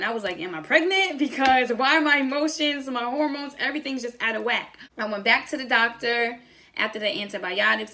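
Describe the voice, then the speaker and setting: A woman's voice: medium pitch, creaky, pushed and bright. A young woman telling a personal story in a casual vlog style, acting out bits of conversation as she goes. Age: young adult. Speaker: vlogger.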